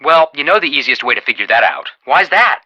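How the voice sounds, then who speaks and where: A man playing an excitable, wisecracking young amateur sleuth in a video game, chatty and emphatic, with slightly dull lo-fi sound. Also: medium pitch, fairly quick, exaggerated voice. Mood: chatty, opinionated. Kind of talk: animated video game dialogue.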